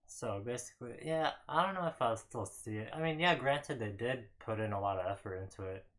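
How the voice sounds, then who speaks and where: A man's voice: mid-range voice, a little fast, a bit bright. A man co-hosting a casual podcast, talking in short, blunt, plain-spoken phrases. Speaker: podcast co-host. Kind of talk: casual group chat.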